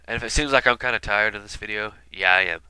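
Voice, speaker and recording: A man's voice: lowish voice, fast talker, sharp tone, clear, resonant tone, dry recording, poor audio. A man with a stuffy nose from being sick, commenting casually and rambling with lots of fillers. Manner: rambling, many fillers and asides. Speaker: video commentator. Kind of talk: casual album retrospective commentary.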